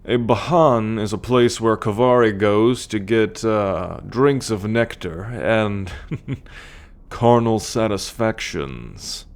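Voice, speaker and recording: A man playing a cocky, teasing male alien scout warrior in an ASMR roleplay, talking straight to the listener with a drawl. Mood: relaxed, wry. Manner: teasing, slow and drawling, with low chuckles.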